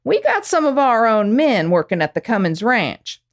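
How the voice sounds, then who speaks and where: A woman's voice: a little high, huge pitch swings, normal speed. A woman playing a ruthless, hot-tempered young gang boss in a Western radio play, commanding and threatening, with an American accent. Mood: ordering, businesslike. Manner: commanding, threatening cowboy drawl.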